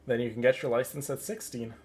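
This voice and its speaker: A man's voice: medium pitch, fairly even intonation, fast, husky, faint music behind. A man from Canada chatting casually as a streamer, joking and rambling over gameplay.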